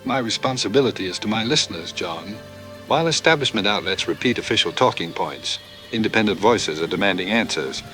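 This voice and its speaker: A man's voice: on the low side, expressive, fairly quick, a bit bright, faint music behind, poor audio. A man playing a combative, insistent populist talk show host in a news debate drama. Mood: accusing, defiant. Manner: insistent, confrontational.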